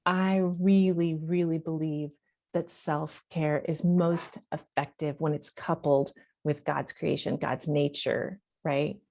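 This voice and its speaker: A woman's voice: low-pitched, fairly even intonation, slow pace, vocal fry, darkish tone. A woman counselor talking earnestly in a podcast interview, repeating her main points for emphasis. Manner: talks in long flowing runs with fillers. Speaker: professional counselor.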